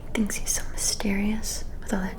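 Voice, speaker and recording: A woman's voice: mid-range voice, little pitch movement, brisk, lightly acted. A woman playing a haughty, catty vampire noblewoman in an ASMR roleplay, with a disdainful, theatrical drawl. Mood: jealous irritation.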